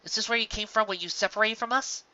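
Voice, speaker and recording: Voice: medium pitch, fairly even intonation, quick pace, pushed and bright, dry recording, muffled recording, voice pushed up. A reader voicing an earnest, anxious young female unicorn in a fan fiction story. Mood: nervous.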